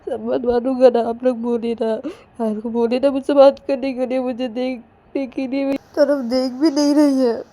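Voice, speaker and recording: A woman's voice: high-pitched, fairly even intonation, moderate pace, dark tone, dry recording, voice pushed up, lightly acted. A woman voicing a distraught young character in an audio story, pleading childishly through tears with stammered, repeated whining phrases. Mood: tearful pleading. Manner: stammering, repetitive, whining pleas. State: crying. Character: distraught young person, pleading childishly beside unconscious friend.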